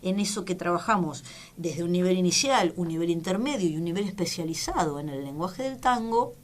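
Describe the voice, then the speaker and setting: A woman in her forties with a Rioplatense Argentine accent, a tango musician and teacher speaking as a radio interview guest, halting and often restarting her words. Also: on the low side. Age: 40s.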